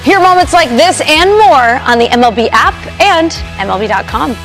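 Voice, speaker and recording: A woman's voice: high voice, expressive, moderate pace, fairly bright tone, soft background music. A woman reading a scripted radio promo as an announcer.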